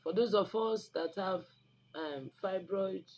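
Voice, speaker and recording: A woman's voice: medium pitch, takes it slowly, darkish tone. A woman with a Nigerian Igbo accent, a native Igbo speaker, talking informally and instructively to viewers in an emphatic, preachy way, repeating phrases for stress. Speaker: online video host giving herbal remedy advice. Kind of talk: informal instructive talk to camera. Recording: noticeable background noise.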